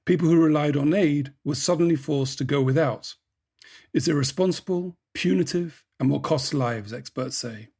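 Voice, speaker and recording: A man hosting a podcast, reading scripted narration in a measured way and putting questions to a guest. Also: fairly high pitch, darkish tone, husky. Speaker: podcast host and editor.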